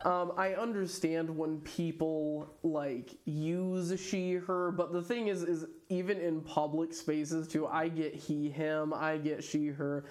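An afab podcast guest with a fairly low voice, talking casually in a hesitant, rambling way full of fillers and restarts, often laughing mid-sentence. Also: female voice, little pitch movement, takes it slowly, hardly any pauses, a little mellow. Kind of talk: casual conversation.

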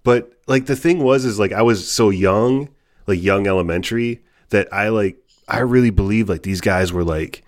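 A man in his forties with a deep voice talks loosely on a podcast, saying "like" again and again as he tells his story. The sound is dry and close-miked. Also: moderate pace, dry recording. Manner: chatty, says "like" constantly. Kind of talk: casual podcast conversation.

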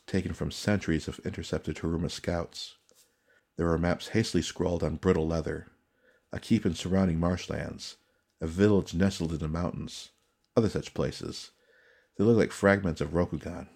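Deep gravelly man narrating dark fantasy. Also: steady tone. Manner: solemn, measured, suspenseful. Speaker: audiobook narrator. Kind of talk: dramatic scripted fantasy narration.

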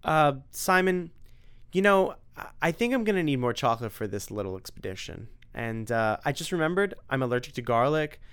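A man voicing a cocky, wisecracking young hero in a read-aloud story, quick and cheeky. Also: on the low side, steady tone, fairly bright tone, clean tone. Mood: nervous.

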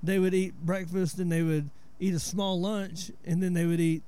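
A man in his forties with a Southern US accent talks in a relaxed, storytelling way. His voice sits a little high but sounds warm and dark. He explains where local words came from. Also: moderate pace, clean tone. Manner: laid-back storyteller, explains word origins. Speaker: podcast host. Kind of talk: casual chat.

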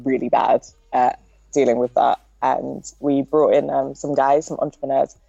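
Young woman guest, nasal and a bit gravelly, dry dull audio. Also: very deep voice, level tone, normal speed, mellow, no room sound. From Britain. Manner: chatty, lots of likes and ums. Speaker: podcast guest. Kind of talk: casual interview chat.

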